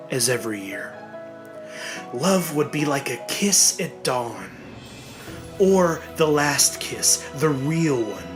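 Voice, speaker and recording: A man narrating a horror story in a dramatic, deliberate, suspenseful way, with weighted pauses. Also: on the high side. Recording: music bed behind the voice. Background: clearly audible music.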